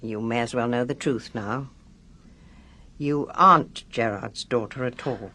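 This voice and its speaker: A woman's voice: a bass voice, measured pace, bright, forceful tone, full character voice. A woman playing a blunt, bossy, knowing village nurse in a British radio drama. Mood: confiding. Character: brisk, no-nonsense, knowing village nurse, female.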